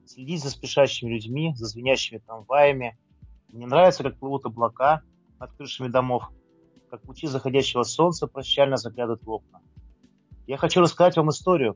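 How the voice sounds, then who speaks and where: A man speaks as an author sharing his own work, in an informal talk and reading aloud. His voice sits at a middling pitch. The recording is a bit dull and very dry, with music faintly behind him. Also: dry recording.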